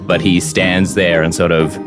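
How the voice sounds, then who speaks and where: A man runs a fantasy tabletop game in a deep voice. His dramatic narration moves slowly, with music clearly playing behind it. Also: level tone. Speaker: game master.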